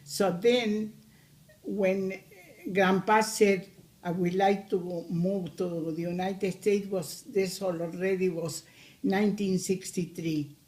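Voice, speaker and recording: Elderly Argentine-accented grandmother in her eighties, unhurried, halting delivery. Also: mid-range voice, fairly even intonation, a little mellow. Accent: Argentine Spanish. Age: 80s. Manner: slow, hesitant, many pauses. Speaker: grandmother interviewee. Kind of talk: recorded family interview.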